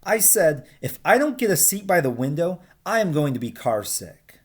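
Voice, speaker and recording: A man's voice: a little high, big pitch swings, normal speed, slightly rough, pitched above the performer's own voice. A man voicing a grumpy, put-upon young boy complaining whinily about his day in a picture-book read-aloud. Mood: whiny, indignant. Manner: whiny, exaggerated complaining.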